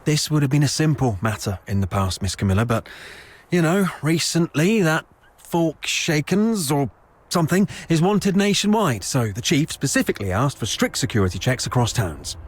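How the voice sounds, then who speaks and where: Game role: taunting, officious town guard, lively intonation, slightly high voice. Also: male voice, moderate pace. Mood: exasperated. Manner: taunting, blustering. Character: gruff, officious, jeering male town gate guard. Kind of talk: video game voice acting.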